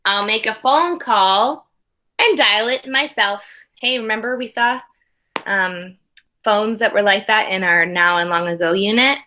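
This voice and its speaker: A woman's voice: mid-range voice, a little slow, sharp tone, slight background noise. A woman teaching young children, reading a storybook aloud expressively and chatting to them in conversational asides. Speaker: early primary school teacher.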